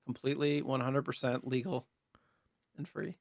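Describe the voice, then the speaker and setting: A man's voice: medium pitch, level tone, moderate pace, no room sound. A man co-hosting a podcast, chatting casually and jokingly about gaming news. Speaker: podcast co-host.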